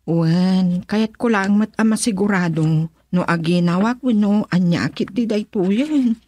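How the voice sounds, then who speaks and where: A woman's voice: mid-range voice, measured pace, dark tone, close to an everyday voice. A woman acting a worried, devoted young wife in an Ilocano radio drama, with an Ilocano accent. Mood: gentle, slightly chiding.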